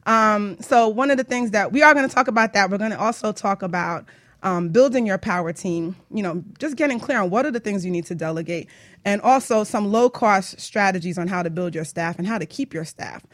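A woman's voice: mid-range voice, fairly quick, dry recording. Playful woman hosting talk radio, close dry sound. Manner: playful, teasing, informal. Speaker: radio show host and business coach. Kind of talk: casual radio talk show chat. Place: radio studio.

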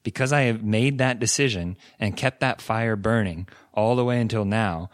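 A man's voice: on the low side, moderate pace, no echo, clean studio sound. A young man co-hosting a podcast in a casual chat, earnest and encouraging, often restarting his sentences. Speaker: podcast co-host.

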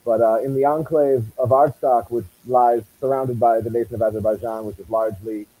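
A man with a Boston accent speaks as a musician being interviewed. His voice is very dark and relaxed, with no edge to it, and he talks conversationally at a middling pitch. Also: a little fast, poor audio. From Massachusetts, USA. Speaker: musician guest.

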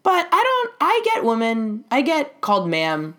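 High shrill-voiced guy on a comedy podcast, super animated, jokey and laughing. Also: a little slow. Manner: jokey, laughs often, riffs on bits. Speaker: podcast co-host. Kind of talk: casual comedic chat.

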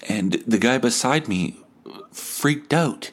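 A man hosting a podcast, telling stories in a casual, comedic, animated way with some swearing. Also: medium pitch, slow pace. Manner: animated, acts out quoted dialogue; profane. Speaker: podcast host.

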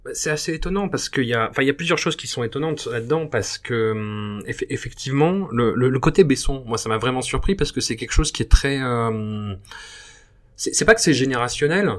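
A man's voice: mid-range voice, expressive, a little fast. A man in his thirties co-hosting a casual conversation, talking thoughtfully and stammering on repeated words. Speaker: podcast co-host.

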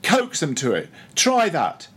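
A man's voice: fairly high pitch, wildly swooping pitch, measured pace, a bit bright, breathy edge, pitched above the performer's own voice, full character voice. A man voicing a brash, bossy ship's officer in an audiobook, hectoring and imperious with exclamations. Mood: mock reproving. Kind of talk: audiobook character voice.

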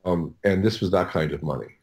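Podcast guest: American male, middle-aged, slightly low pitch, loose halting storytelling with frequent self-corrections. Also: darkish tone. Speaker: audiobook producer, podcast guest. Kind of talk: casual interview storytelling.

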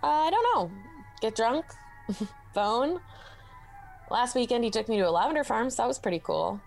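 A sardonic young woman in denial, played by a woman with a Canadian accent in a comedy radio play. She deflects with sarcasm and mutters under her breath. Her voice sits fairly high, with faint music behind. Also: animated, normal speed, creaky, darkish tone, low-quality recording. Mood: glum. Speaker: therapy patient. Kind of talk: student radio comedy acting.

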